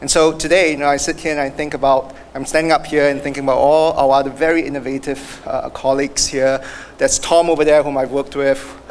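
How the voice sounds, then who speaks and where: A man with a Singaporean accent gives an award acceptance speech, halting and full of fillers and restarts. His voice sits at a middle pitch and carries a long reverb through a large event hall, with a faint hiss of room noise behind it. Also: level tone. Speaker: award recipient.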